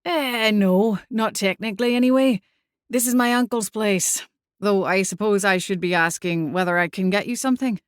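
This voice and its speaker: A woman's voice: mid-range voice, moderate pace, dry recording, lightly acted. Audiobook role: woman as strapping young barman, Scottish accent, courteous, a little flustered, recorded dry. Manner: polite, hesitant. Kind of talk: audiobook character acting.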